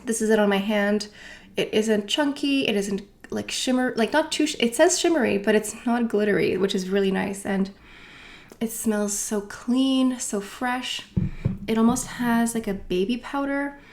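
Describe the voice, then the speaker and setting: A woman's voice: fairly high pitch, moderate pace. Chatty woman unboxing beauty products. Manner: chatty, rambling, with many asides and fillers. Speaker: beauty vlogger. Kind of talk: casual product unboxing chat.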